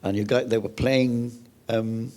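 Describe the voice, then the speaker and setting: A man's voice: mid-range voice, fairly even intonation, unhurried, dark tone. A man with a Gibraltarian accent, a singer chatting casually and plainly, repeating himself for emphasis. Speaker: interview guest, singer. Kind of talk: casual chat.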